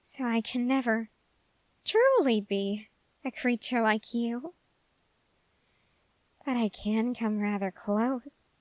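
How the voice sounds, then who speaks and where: An obsessive young male soldier, voiced by a woman, speaks slowly and close in a very dry recording. He is intense and halting, with formal phrasing, in an intimate ASMR roleplay. His voice sits fairly high for the part. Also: animated, no echo, low-quality recording. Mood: insistent, reverent.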